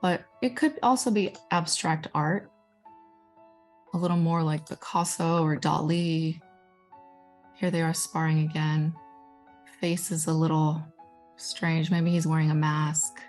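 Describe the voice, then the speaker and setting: A woman's voice: low voice, fairly even intonation, measured pace, pauses often, a little mellow, faint music behind. A young woman from Guatemala whose first language is Spanish, chatting casually and enthusiastically as she presents her own artwork, with self-deprecating asides. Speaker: digital storytelling manager.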